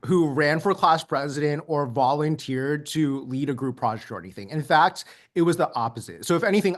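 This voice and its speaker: Man, first language Mandarin, interview guest, reflective, slightly high pitch, light laughs. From United States. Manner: earnest, laughs lightly between points, many ums.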